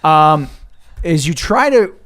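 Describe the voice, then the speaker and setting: A man's voice: fairly high pitch, sing-song, slow pace, fairly bright tone. A man acting as game master, casually narrating a tabletop role-playing game.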